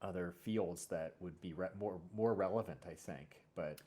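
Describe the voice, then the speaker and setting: Low-voiced man, halting conversational talk. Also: dark tone. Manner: halting, repeats words, often says "you know". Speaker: series creator and show host. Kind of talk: casual conversation about a fiction series.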